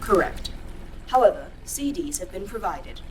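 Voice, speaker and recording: A woman playing a literal-minded, obliging artificial intelligence in an audio drama, formal and measured. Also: on the low side, very animated, slight background noise, poor audio. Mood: composed, precise. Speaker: voice actor in a fiction podcast.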